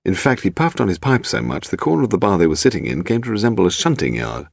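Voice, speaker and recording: Low, creaky English male narrator. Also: wildly swooping pitch, fast talker, no echo, clean studio sound. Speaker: audiobook narrator. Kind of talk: scripted mystery story narration.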